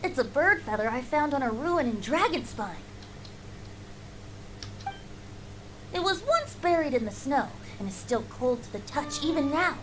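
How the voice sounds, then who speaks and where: A woman's voice: high voice, big pitch swings, normal speed, background noise. A woman voicing an easygoing, playful young male bard in a video game, with a light, boyish, teasing voice. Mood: pleased. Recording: game music behind the voice.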